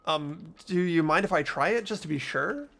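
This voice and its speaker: Earnest, nervy male student lead acted by a man, game dialogue and narration, higher register, very expressive. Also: normal speed, vocal fry, heavily acted. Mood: thoughtful, hesitant. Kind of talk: amateur voiced video game playthrough, dialogue and narration. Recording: music behind the voice.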